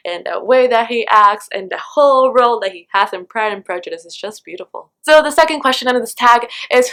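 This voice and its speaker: A woman's voice: a little high, fairly bright tone. A young woman hosting a casual, chatty book vlog, talking in a gushing, dramatic and self-mocking fangirl way. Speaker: book vlogger and host.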